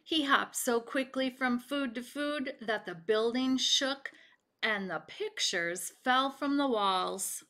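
A middle-aged woman reads a children's story aloud. Her voice is slightly high and bright, and she tells it deliberately, with dramatic expression. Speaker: picture book reader. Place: home kitchen, indoors.

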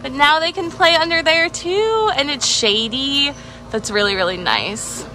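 A chatty woman with a fairly high voice narrates her backyard project while holding the phone camera outdoors. Her tone is upbeat and casual, and a music bed plays under her. Sounds of the open yard come through. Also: expressive, measured pace, bright, forceful tone. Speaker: vlogger. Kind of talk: casual home vlog narration. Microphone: handheld phone camera.

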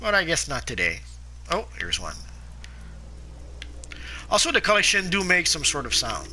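Man, slightly high voice, sore throat, sniffles, halting, casual game commentary. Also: wildly swooping pitch, pushed and bright, a little hiss or hum. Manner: halting, frequent "uh" fillers and restarts. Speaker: gameplay guide narrator.

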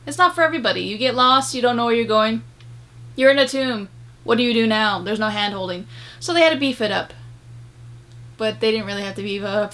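A woman's voice: a little high, fairly bright tone. A young adult woman giving a casual, emphatic movie review to camera in a room at home. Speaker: video host and game reviewer. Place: small room at home, indoors.

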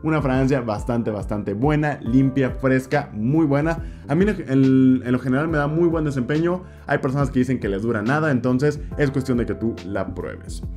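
Young man, Mexican Spanish accent, chatty vlog review, mid-pitched voice, faint guitar music underneath. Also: normal speed, few pauses, darkish tone. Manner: chatty, gestures a lot, repeats words for emphasis. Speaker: fragrance reviewer. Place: indoor room with shelves of perfume bottles. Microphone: desk mic in front, camera at mid distance.

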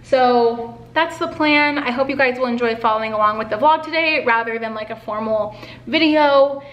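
A woman's voice: on the high side, normal speed, a bit bright. A young woman in her twenties, chatting casually to camera at home with lots of filler words and busy hand gestures. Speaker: artist vlogger. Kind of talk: casual vlog chat. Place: home living room and kitchen, indoors. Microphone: camera on a stand. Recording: echoey room.

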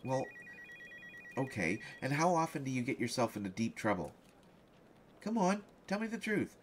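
A man playing a flustered, distracted reporter in an audio roleplay, halting and stammering, jealous and defensive. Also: mid-range voice, very animated, a little hiss or hum, natural voice. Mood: exasperated, defensive. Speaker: voice actor in a solo roleplay audio. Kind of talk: one-sided conversational audio roleplay.

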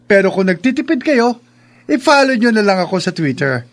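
A man's voice: high voice, very animated, moderate pace. A man playing a cocky, shameless young man in a Filipino radio drama, with a mocking, boastful, playful delivery. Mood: brazen. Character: cocky, teasing, shameless young man, proud of his looks.

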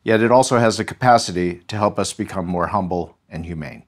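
A man with an American accent, a history professor speaking as an interview guest in a measured, articulate way. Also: low voice. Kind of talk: thoughtful interview talk.

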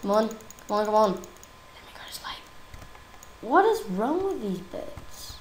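A young woman with a croaky voice from a cold, chatting casually and giggling while she plays a game. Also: medium pitch, big pitch swings, a little slow, roomy sound, slight background noise. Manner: chatty, giggly, easily exasperated. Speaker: gaming YouTuber. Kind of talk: casual gameplay commentary.

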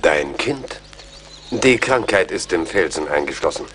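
An earnest army major, low in pitch. He is an anxious gentleman soldier in a radio play, with slight noise behind. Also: animated, measured pace. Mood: solemn. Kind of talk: German radio play acting.

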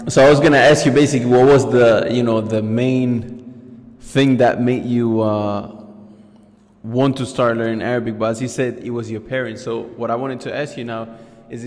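A man's voice: medium pitch, steady tone, moderate pace, darkish tone. A young man hosting a casual video-call interview, asking loose, rambling questions with fillers. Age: young adult. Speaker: host, interviewer. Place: small room indoors. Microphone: headset and studio mic on video call. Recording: reverberant room over video call.

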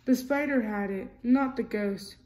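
A woman's voice: a little high, measured pace, a little mellow, natural voice. A woman voicing a timid, scared little boy who squeals when frightened.

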